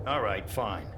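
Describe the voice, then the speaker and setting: Game role: suspicious male base officer, unhurried, grudging authority, clipped phrases amid heavy background noise. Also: lowish voice. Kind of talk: game cutscene dialogue.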